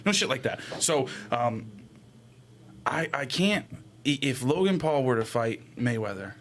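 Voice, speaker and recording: A man's voice: medium pitch, expressive, unhurried, a bit bright. A young man debating casually as a podcast co-host, with slangy, profane, argumentative talk. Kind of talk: casual group chat, sports debate. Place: small room, indoor podcast setup. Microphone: close podcast microphone with headphones.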